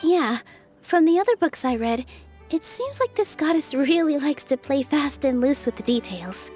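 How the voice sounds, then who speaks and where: Game heroine, young dancer, warm-hearted and encouraging, high bright voice. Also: female voice, animated, normal speed, faint music behind. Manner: gentle, encouraging.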